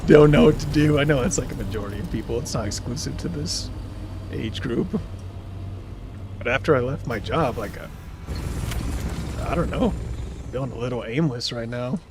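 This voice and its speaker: A man's voice: mid-range voice, animated, moderate pace, long pauses, noisy background. A man in his thirties streaming a game live, chatting casually in a rambling way and answering viewers' chat as he plays. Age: 30s.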